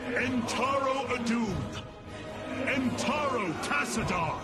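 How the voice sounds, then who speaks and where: Solemn, slow-speaking alien warrior leader. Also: male voice, very high-pitched, expressive, heavily acted. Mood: resolute, rousing. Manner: measured, stately, formal oratory. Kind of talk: dramatic video game voice acting. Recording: loud music behind the voice.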